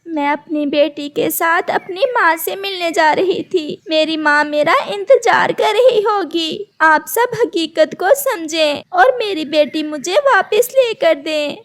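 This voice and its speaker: Woman acting gentle mother bird, cartoon, high pitch, slow, anxious, pleading. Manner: pleading, earnest. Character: gentle, anxious mother bird devoted to her little daughter.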